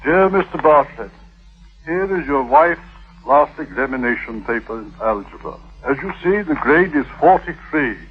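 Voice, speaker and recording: Fussy proper husband in an old radio play, fatherly and soft, thin tinny sound. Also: on the high side, animated, moderate pace, breathy edge. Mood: formal. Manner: formal, gentle, paternal. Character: doting, fussy husband, a grocer, treating young wife like child. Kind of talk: 1950s radio drama acting. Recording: tinny vintage radio broadcast.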